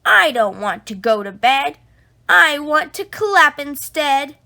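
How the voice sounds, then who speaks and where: A woman's voice: high voice, big pitch swings, slow pace, vocal fry, sharp tone, pitched above the performer's own voice, exaggerated voice. A woman voicing a grumpy, stubborn male toad in a children's story, whining defiantly about bedtime.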